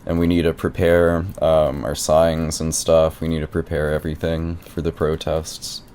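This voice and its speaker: A low-pitched male squatter tenant, played by a man, stubborn and clueless. He rambles and argues with naive insistence in an improvised prank phone conversation. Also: flat delivery, moderate pace, warm tone, a little hiss or hum. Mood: rambling, aggrieved. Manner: rambling, argumentative, feigned naivety. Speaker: prank caller.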